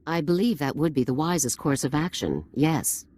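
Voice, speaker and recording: Crisp, commanding female general. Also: low-pitched, expressive, normal speed, a little mellow. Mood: resolute. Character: stern, decisive female army general. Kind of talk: video game cutscene acting.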